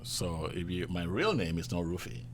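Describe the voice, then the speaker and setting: A man in his fifties with a Nigerian accent, a guest chef telling relaxed stories full of fillers. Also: deep voice, normal speed, background noise. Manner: relaxed, chuckling anecdotes with many fillers. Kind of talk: casual interview storytelling.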